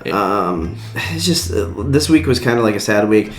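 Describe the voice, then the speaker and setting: A man's voice: lowish voice, lively intonation, normal speed, a little hiss or hum. A young adult American man co-hosting a podcast, chatting casually and slangily about movies. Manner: informal, slangy, mildly profane. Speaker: podcast co-host. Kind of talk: casual movie news chat. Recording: roomy echo, music behind.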